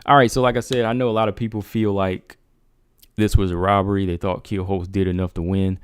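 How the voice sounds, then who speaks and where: A man's voice: low voice, level tone, fairly quick, clear, resonant tone, no echo. A man hosting a casual MMA livestream, asking his viewers rhetorical questions. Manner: talks straight to viewers, asks rhetorical questions. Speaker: MMA talk show host.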